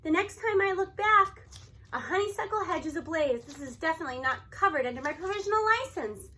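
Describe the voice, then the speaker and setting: A woman reading a children's novel aloud in an expressive, animated storytelling manner. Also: extremely high pitch, brisk, a little hiss or hum. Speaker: audiobook reader.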